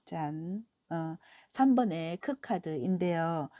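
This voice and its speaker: A middle-aged woman talks through a weekly tarot reading, her voice hoarse from a cold. She sounds low and mellow, easing along with soft fillers and warm encouragement. The recording is close and dry. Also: animated, takes it slowly, dry recording. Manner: gentle, encouraging, many "eo" fillers. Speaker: tarot reader.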